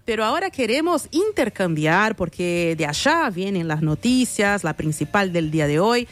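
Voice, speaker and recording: A woman hosting a radio show, presenting in a brisk, upbeat way and introducing a guest. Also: medium pitch, big pitch swings. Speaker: radio host.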